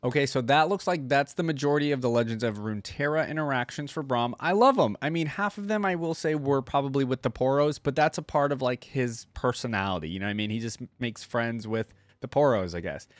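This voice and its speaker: A man's voice: mid-range voice, fast, few pauses, no room sound. A man hosting a reaction video, talking casually and informally with plenty of enthusiasm into a close mic.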